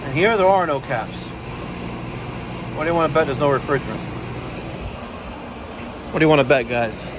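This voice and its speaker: A man's voice: fairly high pitch, lively intonation, normal speed, pauses often. A man in his forties, an HVAC technician, chatting casually to viewers while working on a service call. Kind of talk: first-person service call vlog. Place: outdoors beside AC units at a large house. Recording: background AC and outdoor noise.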